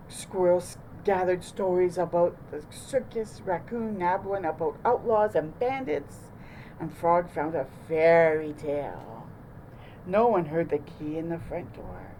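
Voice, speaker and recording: Slow, mellow woman reading a picture book. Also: fairly low pitch, a little hiss or hum, low-quality recording. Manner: expressive, deliberate. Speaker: storytime host reading aloud. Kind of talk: children's picture book narration.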